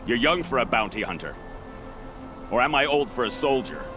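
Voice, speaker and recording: An actor playing a wary older soldier in a video game, bitter and defiant. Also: male voice, medium pitch, sing-song, normal speed, music in the background, lightly acted.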